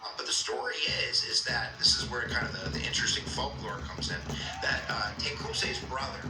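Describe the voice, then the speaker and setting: A man's voice: mid-range voice, fairly even intonation, brisk, roomy sound, recorded from a distance, background noise. A man casually telling a history story, rambling with many fillers and joking asides.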